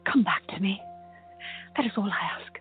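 A woman playing a tender, lovelorn lady of earlier times in a radio play, speaking softly and earnestly. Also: medium pitch, animated, normal speed, lightly acted. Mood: whispered longing. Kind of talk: amateur radio play acting. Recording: thin phone-like sound under music.

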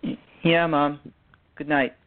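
A man's voice: on the high side, flat delivery, slow pace, phone-quality sound, voice pushed up. A man voicing a polite, eager but slightly hesitant thirteen-year-old boy in an amateur radio drama. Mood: subdued.

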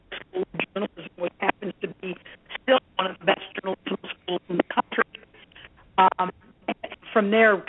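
A middle-aged American woman, a professor speaking as an interview guest in an earnest, reflective, conversational way. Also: mid-range voice. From United States (Indiana), born in Greece. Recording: tinny phone-like line.

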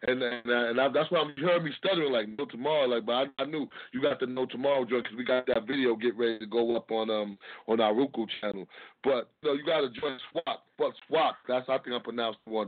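A man from Harlem hosting a casual interview, relaxed and affirming, dropping street slang as he asks his questions. His pitch is ordinary. The audio is narrow and phone-like. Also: quick pace. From Harlem, New York. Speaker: interviewer host.

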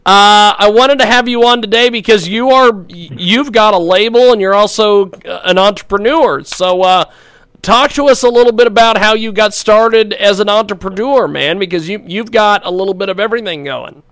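A man's voice: high voice, normal speed, a bit bright, clear, resonant tone, no echo. A man hosting a radio interview show, often hesitant, stumbling and repeating words as he asks questions. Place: radio studio.

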